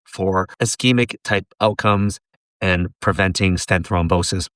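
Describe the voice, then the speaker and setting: A man with a hoarse voice from laryngitis, giving a teacherly tutorial and posing questions for the viewer to pause on. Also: low voice, fairly even intonation, fairly bright tone, dry recording, studio quality. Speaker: pharmacist and educator. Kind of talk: tutorial lecture.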